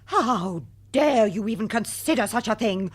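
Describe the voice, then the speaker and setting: An actress playing a testy, respectable British wife in a radio comedy drama, speaking in an arch, precise, wry manner. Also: female voice, on the high side, expressive, unhurried, husky. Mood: outraged, haughty. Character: testy, respectable, long-married middle-class wife.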